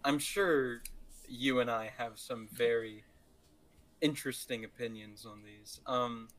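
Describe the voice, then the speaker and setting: A man's voice: medium pitch, steady tone, measured pace, fairly bright tone, low-quality recording. A man co-hosting a podcast in casual, joking banter with a playfully teasing manner. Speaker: podcast co-host.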